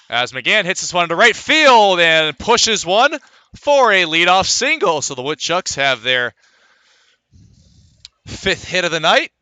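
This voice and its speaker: A man's voice: a little high, sharp tone, smooth, no echo. An American man calling a baseball game as a live radio play-by-play broadcaster. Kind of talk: live radio sports commentary.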